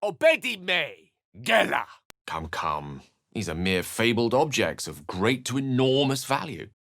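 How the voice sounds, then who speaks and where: A man's voice: medium pitch, very animated, measured pace, gravelly, a bit bright, no room sound, clean studio sound, heavily acted. A man voicing a refined, witty wizard for a video game, speaking in an articulate, theatrical, wry way. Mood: incanting spells, then wry, urbane. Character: eloquent, witty, refined human male wizard. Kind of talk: video game character voice lines and narration.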